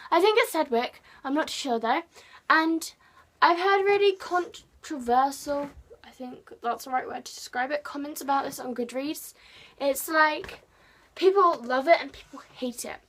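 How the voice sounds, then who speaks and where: Brief: English woman, book vlogger, bright high voice, rambly and self-mocking. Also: measured pace. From England. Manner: chatty, rambling, self-deprecating, playful. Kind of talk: casual chat to camera.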